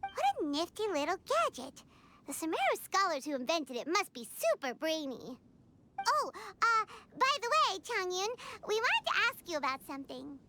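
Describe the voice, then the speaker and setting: A woman voicing a tiny, chirpy, excitable fairy companion in a video game cutscene, full of exclamations. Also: very high voice, normal speed, slight background noise, exaggerated voice. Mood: chatty, curious. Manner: speaks of herself in the third person, exclamatory. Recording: game music behind the voice.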